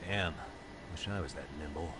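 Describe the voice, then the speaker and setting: Low-voiced, cool, weary detective. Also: male voice, normal speed, very noisy. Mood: wry, resolved. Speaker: video game protagonist. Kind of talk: English game dub acting. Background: loud game music.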